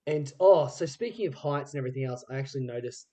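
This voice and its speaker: A man's voice: medium pitch, animated, fast talker, warm tone. A man hosting a podcast, interviewing guests in an informal, conversational way. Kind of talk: casual interview chat.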